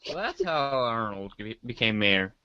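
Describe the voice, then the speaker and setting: A man making deadpan remarks in casual banter. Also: mid-range voice, measured pace, muffled recording. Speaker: co-performer in a readers' theater show.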